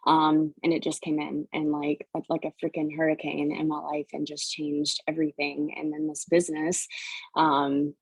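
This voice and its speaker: A woman with a low, gravelly voice talks casually and a little scatteredly about herself. The sound is dry and close, and her manner is self-deprecating. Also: completely flat delivery, moderate pace, no echo, poor audio. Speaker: guest speaker on a team call. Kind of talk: casual chat, personal testimony.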